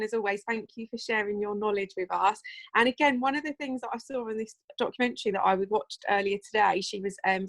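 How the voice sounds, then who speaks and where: A woman's voice: fairly high pitch, a bit bright, low-quality recording. A woman hosting an online business presentation, effusive and friendly as she introduces the speakers. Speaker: host.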